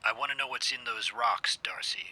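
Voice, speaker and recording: An actor playing a curt, commanding corporate boss in an audio drama, with a clipped, authoritative way of talking. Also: male voice, mid-range voice, moderate pace, bright, forceful tone, breathy edge. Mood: stern, probing. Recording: noisy line with background ambience.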